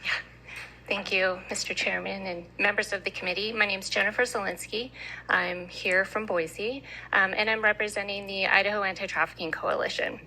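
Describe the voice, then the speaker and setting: A woman's voice: mid-range voice, steady tone, normal speed, sharp tone, low-quality recording. A woman representing an advocacy group, steadily reading a prepared statement to a committee. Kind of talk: read committee testimony. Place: legislative committee hearing room.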